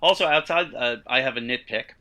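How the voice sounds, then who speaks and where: A man's voice: medium pitch, a little fast, pushed and bright. A man co-hosting a podcast, chatting casually with a panel about a TV show. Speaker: podcast co-host.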